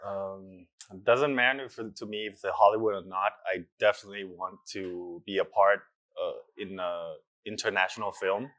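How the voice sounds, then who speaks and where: Young actor, earnest and reflective, low-ish voice, halting fillers. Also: male voice, fairly bright tone. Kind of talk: casual interview answers.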